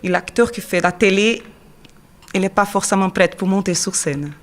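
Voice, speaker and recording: An actress in her thirties with a Brazilian Portuguese accent, speaking French as a second language in a mid-pitched voice. She answers questions and keeps pausing on fillers while she looks for the right word. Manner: searching non-native French, frequent fillers and quick laughs. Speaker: drama teacher and actress. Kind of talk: interview answers.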